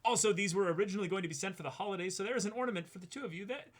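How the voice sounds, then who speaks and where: A young man co-hosting an unboxing video, chatting casually and reading aloud with an exclamatory, animated delivery. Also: sits high, racing. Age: young adult. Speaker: co-host of an unboxing show. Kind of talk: casual excited chat with reading a letter aloud. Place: indoor room, seated before a backdrop.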